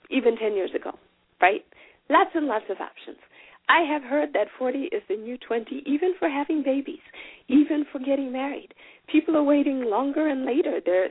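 A woman's voice: high-pitched, no room sound. A woman in her forties hosting a solo podcast, talking in a chatty, folksy, encouraging way. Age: 40s. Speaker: podcast host. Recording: tinny phone-like sound.

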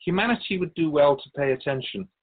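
A wise, wry otherworldly keeper of souls acted by a man speaks in a radio drama with calm caution. His pitch moves expressively as he muses and instructs in measured phrases. The sound is a bit dull and lo-fi. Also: breathy edge. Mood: approving, reflective. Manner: measured, didactic, musing pauses. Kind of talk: radio drama dialogue in interview form.

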